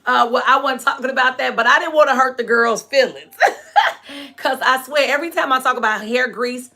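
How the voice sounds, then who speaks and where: Chatty fortyish lady on a livestream, frank, laughs a lot, voice kinda hoarse. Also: sits high, moderate pace, sharp tone. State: hoarse and dry voice from staying up late. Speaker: natural hair care host and product maker. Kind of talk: live-stream chat answering viewer comments.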